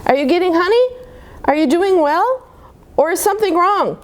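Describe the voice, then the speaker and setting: A woman's voice: very high-pitched, animated, moderate pace, pitched above the performer's own voice. A woman voicing an eager, curious little boy bear in a children's storybook, chirpy and full of questions. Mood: eager questioning.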